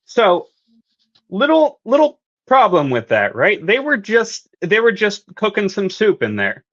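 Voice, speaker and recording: Casual female panelist, theatrical and playful, slow delivery with lively intonation, slightly muffled recording. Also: mid-range voice. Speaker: guest panelist. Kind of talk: casual fan theory discussion.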